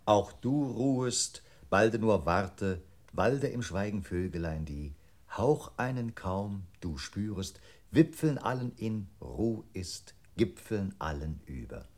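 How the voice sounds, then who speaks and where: A man playing a deliberate, solemn computer unit in a 1960s German experimental radio drama, reciting haltingly phrase by phrase. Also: lowish voice, expressive, a little mellow.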